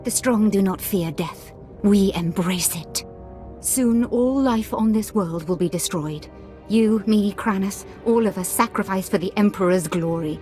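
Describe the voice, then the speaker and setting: An actress playing a zealous, scornful Sith officer in a video game, with haughty, grandiose menace. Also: female voice, mid-range voice, a little slow, mellow, faint music behind, close to an everyday voice. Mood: fanatical, defiant. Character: zealous Sith woman officer, scornful, devoted to her master. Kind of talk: dramatic video game villain acting.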